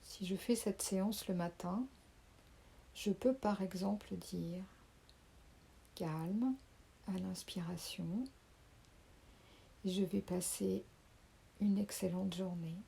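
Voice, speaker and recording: Slow, husky woman guiding relaxation. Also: medium pitch. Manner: slow, soft, calming, with whispered phrases. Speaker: sophrology teacher. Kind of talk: guided relaxation.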